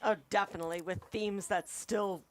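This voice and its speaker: A woman's voice: mid-range voice, steady tone, moderate pace. A woman co-hosting a casual review chat, giving her opinions and corrections readily. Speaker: co-host reviewing TV episodes.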